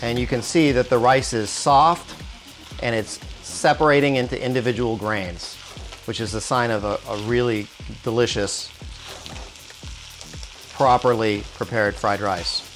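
A man in his forties hosting a cooking show, explaining each step calmly in a home kitchen with small restarts, on a lapel mic. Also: mid-range voice, measured pace. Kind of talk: cooking demonstration. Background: soft music and sizzling wok.

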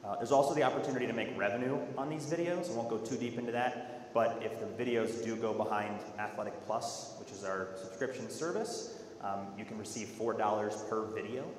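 A man giving an explanatory, halting product presentation at a conference in a large auditorium. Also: fairly low pitch. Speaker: software presenter. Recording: echoey hall with faint noise.

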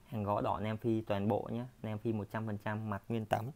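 Chatty man, low voice. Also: little pitch movement, moderate pace, creaky, darkish tone. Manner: chatty, repetitive, filler-heavy. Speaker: furniture workshop seller. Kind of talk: casual sales walkthrough. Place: furniture workshop floor.

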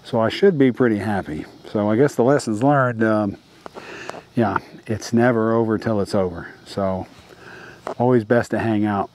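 A man's voice: on the low side, moderate pace, a little mellow, slight background noise. A middle-aged man vlogging landscape photography tips outdoors at dusk, talking casually with lots of fillers and restarts.

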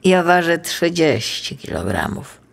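A woman's voice: deep voice, sing-song, measured pace, creaky, voice pushed up, full character voice. A woman voicing a curious, easily amazed little boy on a tour in a children's audiobook.

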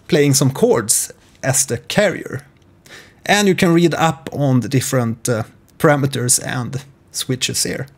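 Man calmly explaining music software, measured delivery. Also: on the high side. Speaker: music software tutorial presenter. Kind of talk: informal screen-share tutorial explanation. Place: small home studio room, indoors.

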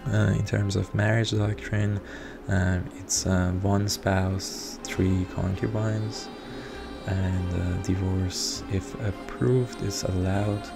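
Slow, gravelly man, native Persian speaker. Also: low-pitched. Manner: hesitant, many ums and uhs. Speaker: strategy game video reviewer. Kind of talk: unscripted gameplay commentary. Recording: voice over clear background music. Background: background music.

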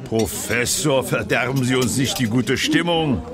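A man's voice: medium pitch, a little slow, close to an everyday voice. A man as a blustery army general. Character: blustery, good-living male army general. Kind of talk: German children's audio drama acting. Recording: busy chatter behind the voice.

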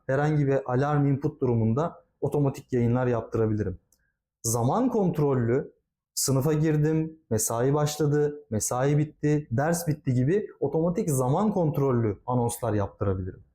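A man with a slightly high, soft-edged voice presents a product demonstration. He is polite and formal, walking through each step in an orderly, explanatory way. The tone is mellow and relaxed.

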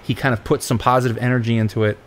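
A man's voice: medium pitch, level tone, very fast, a little hiss or hum. A middle-aged man co-hosting a podcast, chatting casually and rambling through a story with many fillers. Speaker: podcast co-host. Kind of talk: casual chat.

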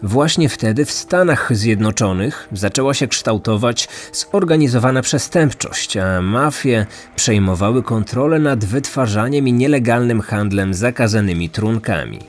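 A man narrates a true-crime podcast from a script. His voice sits at an average pitch, with music playing underneath. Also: animated, normal speed, few pauses. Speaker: podcast host. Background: background music.

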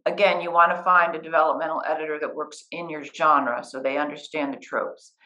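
Lower-voiced woman, patient practical coach. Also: a bit bright, low-quality recording. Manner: patient, practical, walks through steps. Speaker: writing coach and editor. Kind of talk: explanatory conversational interview. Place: living room, sitting on a couch beside a guest.

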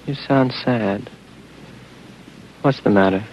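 An American actor playing a weary, delusional stranded space officer in a 1950s radio drama, halting and resigned. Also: male voice, fairly low pitch. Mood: resigned, tender. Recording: dull, hissy vintage radio recording.

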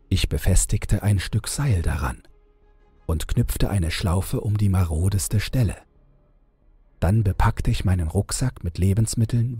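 A man reading a scripted horror audiobook in a measured, dramatic storytelling manner. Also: fairly low pitch, dark tone, slightly rough, no room sound. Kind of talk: scripted creepypasta audiobook narration. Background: background music.

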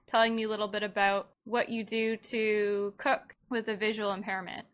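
A woman with an average-pitched voice hosts a podcast interview. She speaks conversationally, in an affirming and encouraging way. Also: little pitch movement, moderate pace, fairly bright tone.

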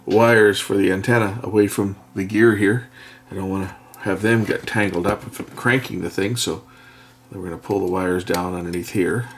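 A middle-aged man giving a casual hands-on narration as an electronics repair presenter, speaking haltingly as he thinks aloud at an indoor workbench. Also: deep voice, vocal fry. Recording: faint background noise, workbench clicks. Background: faint noise, clicks of handled parts.

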